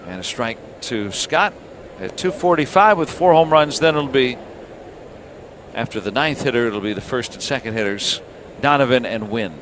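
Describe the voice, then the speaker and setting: A man's voice: medium pitch, animated, normal speed, fairly bright tone, a little hiss or hum. A man calling live baseball play-by-play on the radio as the announcer.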